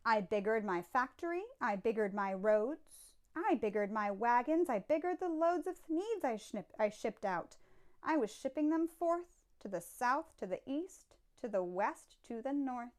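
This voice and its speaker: Slow, high-voiced woman reading a storybook. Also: animated, darkish tone. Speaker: teacher reading aloud. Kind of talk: expressive children's storybook read-aloud.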